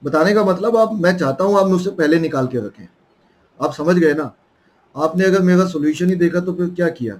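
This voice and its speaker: A man's voice: sits high, a little fast, a little mellow. A young man with an Indian accent and a sore throat, teaching a math lesson in a coaxing way and calling his students children.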